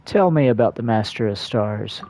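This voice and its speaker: Very mellow, dark-voiced male streamer. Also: fairly low pitch, expressive, moderate pace, slight background noise. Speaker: game livestreamer. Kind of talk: reading game choices aloud, casual commentary.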